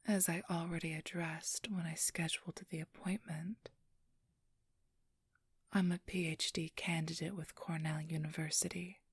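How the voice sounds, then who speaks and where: A woman acts out a cunning supernatural female thief in an ASMR roleplay. Her voice is a very breathy, hushed whisper, unhurried and kept very level. She chooses her words with formal, condescending precision. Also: deep voice, flat delivery, no echo, exaggerated voice. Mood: scholarly, assured.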